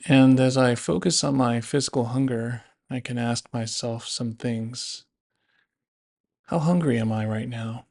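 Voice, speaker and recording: A man narrating a guided body scan meditation in a gentle, unhurried, instructive manner. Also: mid-range voice, vocal fry.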